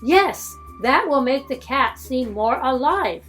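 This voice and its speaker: A woman's voice: sits high, takes it slowly, soft background music, full character voice. A woman voicing an eager, determined young man apprentice in a storybook. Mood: excited. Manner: excited, loud exclamations.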